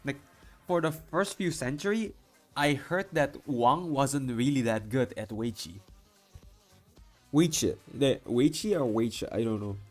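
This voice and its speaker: A man's voice: medium pitch, unhurried. A man streaming to a chat, reading game dialogue aloud and commenting in a casual, rambling way, often repeating himself and stumbling. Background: background music.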